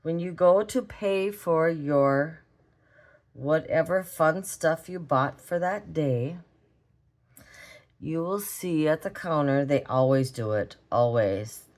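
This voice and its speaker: A hoarse, raspy woman with a Minnesotan accent, chatting casually as she draws in an art tutorial vlog. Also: low voice, slow pace, a little mellow. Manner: chatty, rambling, talks while drawing. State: hoarse from a cold. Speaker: art vlogger. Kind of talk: relaxed drawing tutorial chat.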